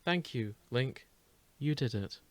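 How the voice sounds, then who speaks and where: A man voicing a cold, whimsical female spirit with measured, formal delivery in a story reading. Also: mid-range voice, animated, no room sound. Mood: cool amusement.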